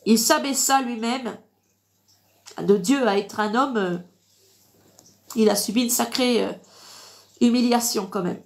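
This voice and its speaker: Congested woman preaching, higher-pitched voice. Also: a little slow, pauses often. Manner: didactic, repeats phrases, punctuated with amens. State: congested with a blocked nose. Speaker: online preacher. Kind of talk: informal live Bible teaching with verse reading.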